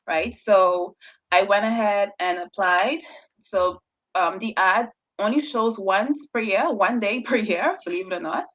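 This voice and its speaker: A woman's voice: mid-range voice, a bit bright, low-quality recording. A woman researcher, a guest on an interview show, telling her story casually with a stutter, repeated words and frequent laughs. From Bahamas.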